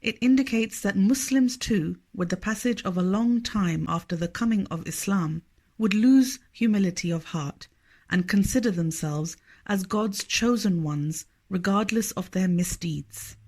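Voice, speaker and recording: A woman reading a scripted scripture study aloud in a measured, formal manner. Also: medium pitch, a little mellow. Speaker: reader of a religious study text.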